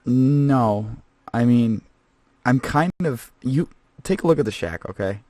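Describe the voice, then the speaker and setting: A man's voice: medium pitch, animated, slow pace, mellow, no room sound. Man doing a rambling scavenger host, dry close mic. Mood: chatty. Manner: rambling and casual, lots of fillers. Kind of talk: comedic in-character podcast.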